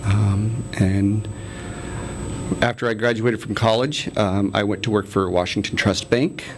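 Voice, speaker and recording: A man's voice: lowish voice, steady tone, unhurried, noisy background. A man in his forties being interviewed, talking in a friendly way with many ums and laughs. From United States. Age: 40s. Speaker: interview guest, city council candidate. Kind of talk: casual interview conversation.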